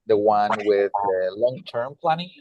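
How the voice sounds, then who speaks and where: A man from Mexico with a Mexican Spanish accent, a nonprofit director talking in a roundtable, halting with many fillers and restarts, heard over a video call. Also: on the low side, expressive, darkish tone, no room sound, highs cut off. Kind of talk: roundtable discussion.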